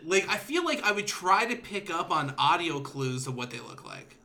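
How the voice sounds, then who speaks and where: A man hosting a casual podcast chat, animated and joking, laughing often. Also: sits high, moderate pace. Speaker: podcast host.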